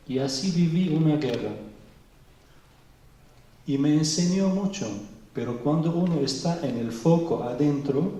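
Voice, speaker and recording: A middle-aged man from Venezuela speaking slowly and thoughtfully at a public talk, pausing often. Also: mid-range voice, mellow. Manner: slow and thoughtful, with pauses and fillers. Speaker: Orthodox bishop giving a talk. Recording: echoey hall, mic far off.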